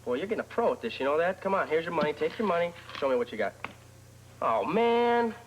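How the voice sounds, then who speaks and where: A man's voice: fairly high pitch, very animated, fast, a little hiss or hum, low-quality recording. An actor playing a friendly, sheepish man in a television soap, with casual, sometimes stammering delivery. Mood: playful. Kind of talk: television soap opera acting.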